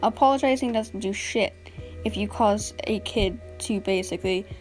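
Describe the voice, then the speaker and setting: A woman recording an early-morning voiceover for a drama commentary video, sounding tired and a little raspy, blunt and exasperated in a casual way with lots of fillers. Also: mid-range voice, normal speed, darkish tone, soft background music. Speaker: commentary YouTuber. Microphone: home voiceover mic.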